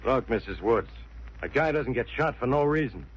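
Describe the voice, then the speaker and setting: An actor playing a brash, sarcastic police inspector in 1940s radio style, blustery and insistent. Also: male voice, medium pitch, expressive, moderate pace, close to an everyday voice. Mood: pressing. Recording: dull, hissy old radio recording.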